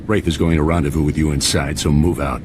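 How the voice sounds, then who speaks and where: A man playing a stern, composed middle-aged military commander in a video game, speaking in a measured, formal, authoritative way. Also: a bass voice, fairly even intonation, background noise. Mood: firm, commanding. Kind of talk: scripted video game acting.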